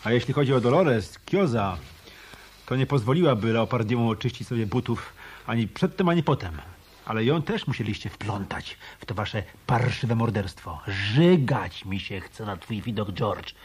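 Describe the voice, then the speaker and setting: An actor playing a sardonic, blunt hotel detective in a radio play. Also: male voice, lowish voice, expressive, moderate pace, darkish tone, husky. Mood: scornful. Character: weary, scornful male hotel detective.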